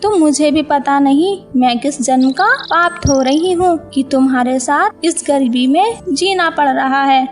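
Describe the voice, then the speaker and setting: A woman voicing a poor farmer's discontented, scolding wife in a moral story cartoon. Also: high voice. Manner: complaining, scolding.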